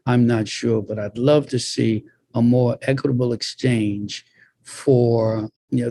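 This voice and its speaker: Mellow-voiced man, a measured pastor. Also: mid-range voice. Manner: measured, emphatic repetition and pauses. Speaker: pastor, panelist. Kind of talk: panel discussion, deliberate remarks.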